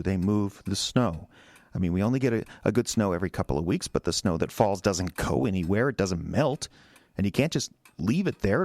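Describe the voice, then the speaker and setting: An American man acting in a radio drama as an anxious, defensive husband who speaks haltingly and repeats his words. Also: mid-range voice, no room sound, close to an everyday voice.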